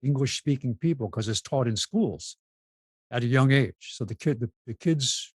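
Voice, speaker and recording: A man's voice: medium pitch, lively intonation, normal speed, husky, dry recording, clean studio sound. A man talking casually as a panelist, explaining things and telling anecdotes. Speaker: talk show panelist.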